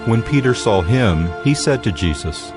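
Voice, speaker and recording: A man narrating scripture in a steady, solemn scripted reading. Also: mid-range voice, normal speed. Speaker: Bible narrator. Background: music.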